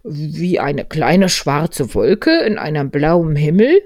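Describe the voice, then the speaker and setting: Casting: woman as a male toy bear for a storybook, high-voiced, naive, ponderous and earnest, close dry sound. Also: unhurried, a little mellow, no room sound, close to an everyday voice. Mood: worried, hopeful. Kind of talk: storybook character voice.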